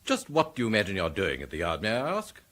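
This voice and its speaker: A haughty senior police chief in a radio drama, with a husky voice that swings widely in pitch. He speaks in a cold, clipped manner and gives orders as though he expects them to be obeyed. The delivery stays stern and imperious. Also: huge pitch swings, fast, a bit bright, heavily acted. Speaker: police commissioner figure.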